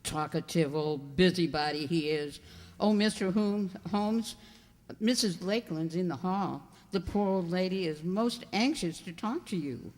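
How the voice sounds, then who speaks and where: A woman's voice: fairly low pitch, unhurried, darkish tone, close to an everyday voice. Woman as brusque village landlady, disapproving, gossipy, radio drama, hall-like echo. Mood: scolding. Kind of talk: amateur radio drama acting. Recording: long hall-like reverb.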